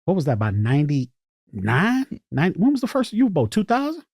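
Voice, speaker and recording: A man's voice: fairly high pitch, wildly swooping pitch, normal speed, a little mellow, breathy edge, dry recording, studio quality. A middle-aged man hosting a casual podcast interview, reacting informally with short repeated interjections. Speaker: podcast host.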